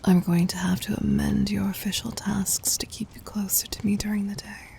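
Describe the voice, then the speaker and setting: A woman playing a tender, possessive demon queen in an intimate ASMR roleplay, speaking in a soft breathy whisper with regal, formal endearments. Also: medium pitch, level tone, moderate pace, slight background noise. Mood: tender, seductive.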